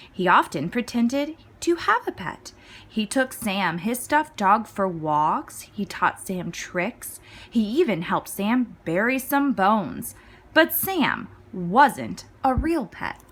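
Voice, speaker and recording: A woman reading a children's story aloud as scripted narration, with clear, expressive phrasing. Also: fairly high pitch, slow. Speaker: storybook reader.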